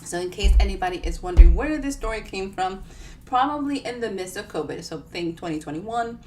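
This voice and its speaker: A woman's voice: mid-range voice, animated, fairly quick, darkish tone, faint music behind. A woman author hosting a casual live book reading, chatting to viewers in a playful, self-deprecating way. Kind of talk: casual livestream chat to viewers. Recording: distant mic with clear background noise.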